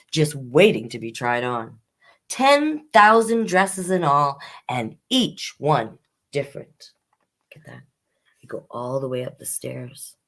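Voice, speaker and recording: A woman hosting a children's storytime, reading picture books aloud expressively and adding chatty asides. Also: very deep voice. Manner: expressive, unhurried, comments on the story as she reads.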